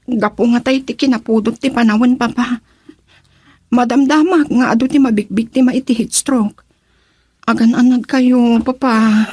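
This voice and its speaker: An actress with a Filipino accent playing a devoted young daughter and sweetheart in a radio drama, expressive and earnest. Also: female voice, a little high, moderate pace, husky, no echo. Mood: concerned.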